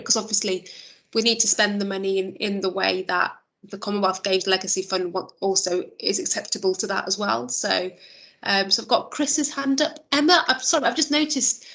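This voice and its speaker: A woman's voice: mid-range voice, fairly bright tone. A woman chairing an online meeting, thinking aloud with fillers and restarts, her voice a little worn. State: losing her voice. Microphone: video call.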